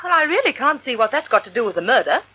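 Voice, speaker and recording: A woman's voice: high-pitched, sing-song, fast talker, bright, forceful tone, husky, full character voice. An actress playing a sophisticated, inquisitive woman sidekick with an arch theatrical delivery in a vintage radio mystery. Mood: questioning. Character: sophisticated, playful, inquisitive woman, the sleuth's companion. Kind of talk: 1940s radio acting. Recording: old radio broadcast recording.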